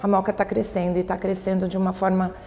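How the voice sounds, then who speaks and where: A woman's voice: mid-range voice, monotone, quick pace, dark tone. A Brazilian woman with a São Paulo accent, talking conversationally in a documentary interview. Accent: São Paulo Brazilian Portuguese. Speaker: local resident interviewee. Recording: long reverberant hall, faint background noise.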